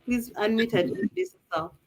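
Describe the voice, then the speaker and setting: A woman from Uganda hosts a live online panel, her voice sitting a little high. She is upbeat and chatty and talks straight to the viewers. The sound comes through a video call. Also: sing-song, brisk, poor audio. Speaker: live show host.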